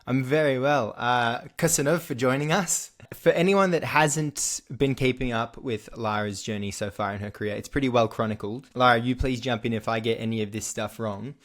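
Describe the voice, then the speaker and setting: A young Australian man hosting a casual sports interview, talking in a relaxed, chatty way with lots of fillers and restarts. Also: medium pitch, moderate pace, few pauses. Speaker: podcast host.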